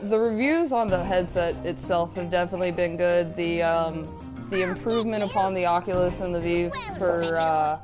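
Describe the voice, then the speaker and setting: Woman, low pitch, chatty casual host, teasing, gaming talk, loud background music. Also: normal speed. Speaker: gaming show host, reading viewer chat. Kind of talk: casual chat while gaming.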